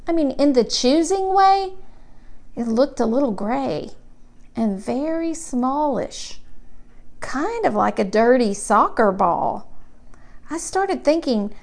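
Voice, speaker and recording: A curious small boy from a children's storybook, as a woman reads him, speaks in a high voice. He takes things literally and puzzles over them slowly, with childlike deliberation. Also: expressive, heavily acted. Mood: earnest musing. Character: curious, literal-minded small boy narrating about his great-uncle.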